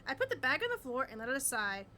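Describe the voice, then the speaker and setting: A woman's voice: high-pitched, sing-song, fast, bright, forceful tone, slight background noise. A woman with a Boston accent reading a light novel aloud casually, adding her own chatter and playful character voices. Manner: does small voices for characters, laughs often. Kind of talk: casual read-aloud with commentary.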